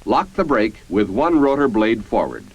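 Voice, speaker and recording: An American man reading scripted 1950s military training-film narration in a formal, measured, instructional manner. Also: fairly low pitch, big pitch swings, slightly rough, no room sound. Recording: dull, band-limited 1950s film soundtrack.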